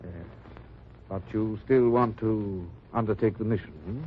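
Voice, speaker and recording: An actor playing a seasoned intelligence colonel who speaks in a measured way with hesitant pauses, in a 1940s radio drama. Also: male voice, fairly low pitch, slight background noise, close to an everyday voice. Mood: stern. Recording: muffled old radio recording.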